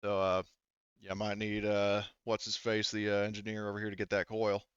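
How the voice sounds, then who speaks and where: A man narrating a tabletop roleplaying game as game master, halting as he thinks aloud, through a muffled mic. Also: fairly low pitch, monotone, clean tone, dry recording.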